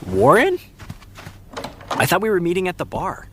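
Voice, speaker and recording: Easygoing friend with lively intonation. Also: male voice, sits high, moderate pace, slight background noise. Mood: teasing, concerned. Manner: casual, bantering, hesitant when disagreeing. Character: easygoing, teasing, sympathetic male friend of a writer. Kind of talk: naturalistic audio drama acting.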